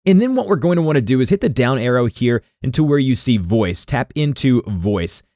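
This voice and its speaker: A man's voice: mid-range voice, very animated, fairly quick, darkish tone, no echo, studio quality. A man hosting an instructional how-to, explaining steps informally as he narrates a screen recording. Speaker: tutorial host.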